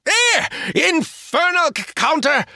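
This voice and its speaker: A man reading an audiobook, voicing an excitable stuttering young male store clerk with a French accent. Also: extremely high pitch, slow, creaky, no echo, clean studio sound, pitched above the performer's own voice, heavily acted. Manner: stuttering, chattering. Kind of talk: audiobook character voice.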